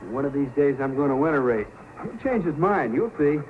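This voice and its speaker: An actor playing a gentle, earnest young male dog handler in an old-time radio drama. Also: a little high, animated, fairly quick, slight background noise, close to an everyday voice. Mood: eager. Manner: coaxing, soothing, earnest. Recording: tinny old radio broadcast with loud music.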